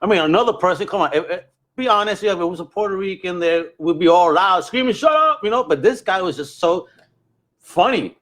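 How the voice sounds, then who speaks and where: A man's voice: sits high, lively intonation, fairly quick, a bit bright. Man chatting enthusiastically as podcast host. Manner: enthusiastic, slangy, emphatic. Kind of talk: casual chat.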